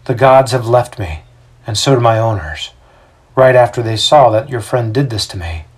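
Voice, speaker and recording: A man voicing an anxious, gentle young woman in a story, earnest and troubled. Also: medium pitch, lively intonation, moderate pace, slightly rough. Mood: lost, subdued.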